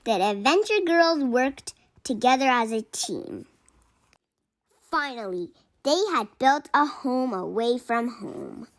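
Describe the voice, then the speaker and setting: A woman reading a children's picture book aloud in an expressive way, acting out the dialogue. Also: on the high side, slow pace. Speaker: storybook reader for children.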